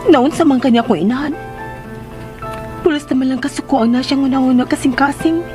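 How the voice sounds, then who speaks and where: A woman's voice: high-pitched, fairly quick, music in the background, lightly acted. A woman playing a meek, anxious young woman, hesitant and submissive, in a radio drama. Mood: sad, musing.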